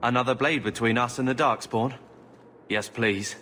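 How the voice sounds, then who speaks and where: An actor playing an impatient, cynical young soldier brother in a fantasy video game, blunt and sardonic. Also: male voice, mid-range voice, steady tone, moderate pace, bright, forceful tone, slight background noise, close to an everyday voice. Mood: grim.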